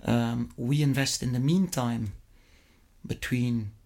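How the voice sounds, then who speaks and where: A man's voice: medium pitch, slow pace, creaky, a little mellow. Middle-aged Flemish Belgian man in interview. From Flemish-speaking Belgium. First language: Dutch. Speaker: interview guest, investment fund executive. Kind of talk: conversational interview answers.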